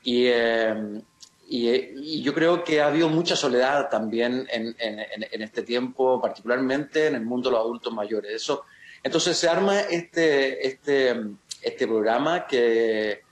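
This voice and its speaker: A man in his sixties, talking casually in an interview over a video call, halting and often restarting his sentences. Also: mid-range voice. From Chile. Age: 60s. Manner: halting, many restarts and fillers. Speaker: actor, interview guest. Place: home room, video call. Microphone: laptop camera mic on video call. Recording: roomy video call audio.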